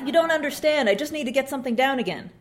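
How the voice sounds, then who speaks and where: A woman's voice: on the high side, lively intonation, brisk, natural voice. A woman reading the lines of a frazzled, stressed young mother.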